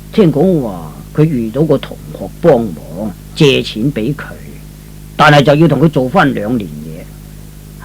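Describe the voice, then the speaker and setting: A man's voice: medium pitch, a little hiss or hum, low-quality recording. A man playing a weary, sorrowful old father in a radio drama, sighing and lamenting as he speaks. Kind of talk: Cantonese radio drama acting.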